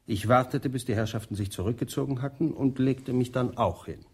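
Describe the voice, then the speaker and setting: A stiff, formal butler. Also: male voice, medium pitch, fairly quick, darkish tone, husky. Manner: stiff, ultra-polite, precise. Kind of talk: radio play acting.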